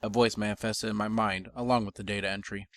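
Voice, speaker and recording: A man reading a first-person fantasy story as narrator, in a measured, bookish dramatic reading. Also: on the low side, flat delivery, a bit bright, no echo, clean studio sound.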